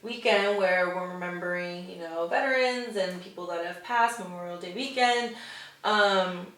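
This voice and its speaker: A woman's voice: on the low side, moderate pace, a bit bright. A young woman hosting a casual craft-show chat, encouraging and laughing often as she talks. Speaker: host. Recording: echoey room, distant mic.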